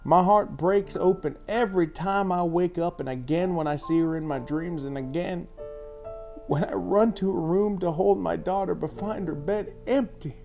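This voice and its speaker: A blunt, weary small-town sheriff dad, acted by a male narrator, talks with loving firmness and a somewhat raised pitch. A faint music bed runs beneath the storybook dialogue. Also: moderate pace, warm tone. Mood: grieving.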